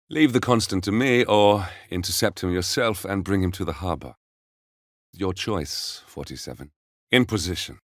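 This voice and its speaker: A man playing a grave, controlled covert operative in a video game, speaking in a measured, deliberate and serious way. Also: deep voice, dry recording, studio quality, lightly acted. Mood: terse, focused. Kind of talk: video game voice acting, mission briefings and story narration.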